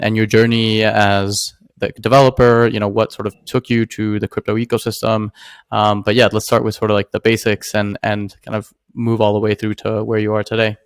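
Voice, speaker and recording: Smooth-voiced male podcast host. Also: on the low side, dry recording. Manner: loose, hesitant, many "uh" and "sort of". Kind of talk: podcast intro and interviewing.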